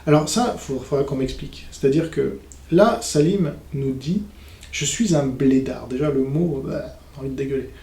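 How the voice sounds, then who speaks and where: A man's voice: mid-range voice, normal speed, warm tone. A man giving off-the-cuff polemical commentary in a sarcastic, scornful manner, mimicking the people he criticises. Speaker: commentator reacting to a conference video. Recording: roomy, echoing room sound.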